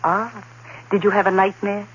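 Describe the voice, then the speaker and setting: An actress playing a devoted, concerned fiancée in an old-time radio drama, theatrical and solicitous. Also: female voice, mid-range voice, fairly even intonation, moderate pace, close to an everyday voice. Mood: sympathetic. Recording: dull old radio recording with hiss.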